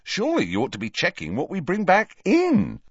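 A man's voice: sits high, huge pitch swings, a little fast, husky, no echo, muffled recording, voice pushed up, exaggerated voice. A man voicing a blunt, grumbling, plain-spoken teenage schoolboy. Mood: indignant.

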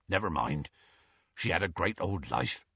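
A man putting on a hulking, kindhearted giant whose gruff voice sinks low and quiet. It sounds husky and a bit dull, close and dry. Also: expressive, a little slow, dry recording, exaggerated voice.

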